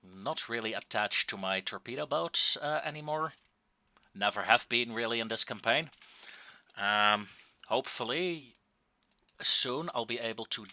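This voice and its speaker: A man giving casual, wry and understated commentary on a video game, with drawn-out pauses, into a close microphone. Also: on the low side, bright, forceful tone, no echo.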